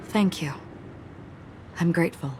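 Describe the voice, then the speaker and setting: An actress voicing a gentle, solemn young guardian in a video game, formal and earnest in measured phrases. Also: female voice, lowish voice, slight background noise, natural voice. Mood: solemn gratitude.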